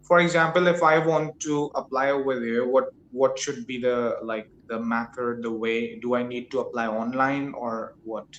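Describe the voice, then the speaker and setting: A man's voice: medium pitch, fairly even intonation, fairly bright tone, dull audio, slight background noise. Non-native man on echoey video call. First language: not English. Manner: short backchannels, halting questions. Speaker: English teacher interviewing a tutor. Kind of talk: casual video call chat. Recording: roomy echo.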